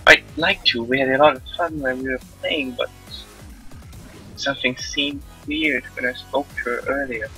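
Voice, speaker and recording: Guy doing a teasing carefree village girl from a visual novel, super bright edgy voice, faint music behind. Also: medium pitch, moderate pace, low-quality recording. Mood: wistful. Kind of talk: live visual novel read-aloud.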